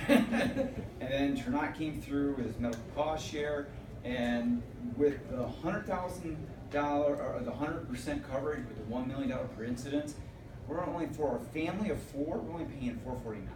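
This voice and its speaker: A man in the audience telling a relaxed personal story, recorded from a distance on a phone in a meeting room. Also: fairly high pitch, roomy sound, background noise. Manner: chatty, unhurried, laughs at his own story. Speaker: audience member giving a testimonial. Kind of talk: casual testimonial. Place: hotel meeting room, indoors. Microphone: far phone camera in the audience.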